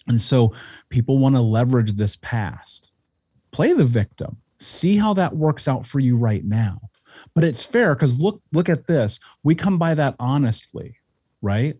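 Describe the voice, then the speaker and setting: Blunt, husky man swearing freely. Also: mid-range voice, lively intonation, measured pace, mellow, no echo, clean studio sound. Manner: blunt, intense, profane. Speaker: podcast guest. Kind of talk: casual podcast conversation.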